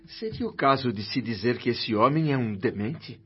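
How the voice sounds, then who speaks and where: A curious Brazilian newcomer in a radio drama. His mid-pitched voice is notably husky and tentative, slightly dull in the recording. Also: expressive, moderate pace. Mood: hesitant, questioning. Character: hesitant, curious male newcomer to spirit hospital. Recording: dull radio-drama sound, music behind.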